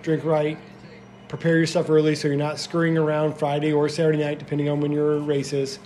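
A man's voice: fairly high pitch, completely flat delivery, fairly quick, a little mellow, slight background noise. A man co-hosting a podcast in a casual, chatty way, full of "like" fillers. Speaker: podcast co-host.

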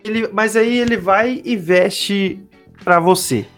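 A woman's voice: mid-range voice, takes it slowly, faint music behind. A woman with a Brazilian accent hosting a podcast in a casual, joking, conversational way. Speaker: podcast host.

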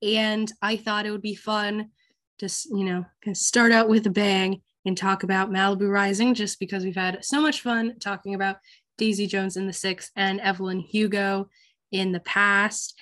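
Hoarse teenage girl hosting a book chat. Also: mid-range voice, little pitch movement, moderate pace, fairly bright tone. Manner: chatty, many ums and likes. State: hoarse from a cold. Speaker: podcast host.

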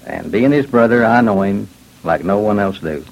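Low-voiced man giving a statement. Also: normal speed, creaky. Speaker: interviewee making a statement. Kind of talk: plain prepared statement. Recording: old radio recording, faint noise.

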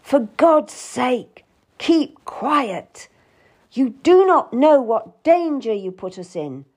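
Stern, icy man played by a woman, accusing and angry, slow emphatic delivery for historical audiobook dialogue. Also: medium pitch, very animated. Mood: cold, urgent.

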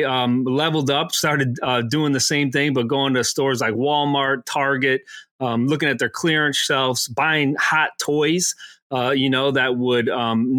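Easygoing middle-aged man, bright dry voice. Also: mid-range voice, dry recording. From Aurora, Illinois. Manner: relaxed, easygoing, laughs along. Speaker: guest, Amazon seller. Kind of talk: conversational interview answers.

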